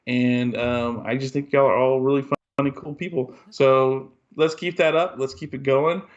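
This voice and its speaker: A man's voice: mid-range voice, fairly even intonation, moderate pace, lo-fi sound. A man co-hosting a podcast, talking in an earnest, rambling way with lots of ums and restarts. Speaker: podcast co-host. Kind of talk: casual podcast chat.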